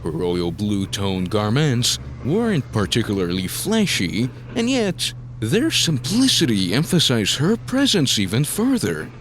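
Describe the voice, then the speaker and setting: A man's voice: mid-range voice, huge pitch swings, measured pace, hardly any pauses, slight background noise. A man narrating a scripted story in a dramatic style, as the narrator of an audio drama.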